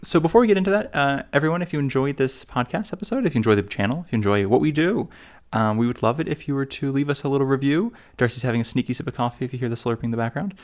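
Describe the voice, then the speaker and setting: A man's voice: mid-range voice, racing. Rambling man co-hosting a podcast. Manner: rambling, self-mocking, full of fillers and restarts. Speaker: podcast co-host. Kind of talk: casual chat.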